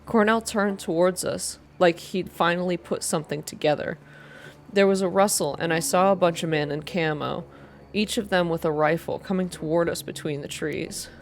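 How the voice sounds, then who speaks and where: A woman's voice: lowish voice, normal speed, slight background noise. A woman reading a narrative story aloud in an ASMR style. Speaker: reader.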